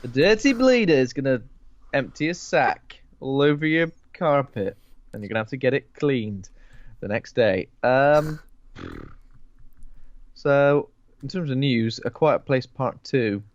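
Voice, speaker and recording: A man's voice: medium pitch, animated, a little slow, muffled recording. A man with a cold co-hosting a horror film podcast, joking and laughing as he chats, often repeating words. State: sniffly with a cold. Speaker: podcast co-host. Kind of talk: casual film podcast chat.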